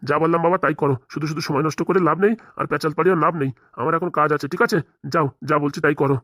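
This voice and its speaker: A man's voice: a little high, fairly even intonation, very fast, a bit bright, no room sound, studio quality, lightly acted. A man playing a stern, protective middle-aged father-in-law who lectures insistently in a comic cartoon. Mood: scolding. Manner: insistent, lecturing, rhetorical questions. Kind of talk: comic cartoon drama dialogue.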